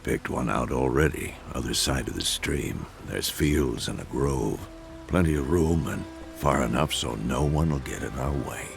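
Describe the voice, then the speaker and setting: Grizzled old monster hunter: very deep, gravelly, dry teasing mentor, game score under it. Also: male voice, expressive, moderate pace, full character voice. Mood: wry. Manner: dry, teasing, matter-of-fact. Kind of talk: video game voice acting. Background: game music.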